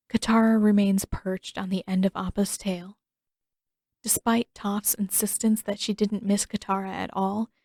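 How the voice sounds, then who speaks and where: A woman reading story narration in a measured, expressive storytelling manner. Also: medium pitch, no echo, studio quality. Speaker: fan fiction narrator.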